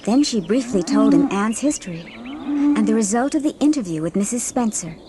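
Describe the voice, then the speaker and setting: A woman's voice: a little high, expressive, normal speed, heavy background noise. A woman giving scripted narration for a dramatised audiobook.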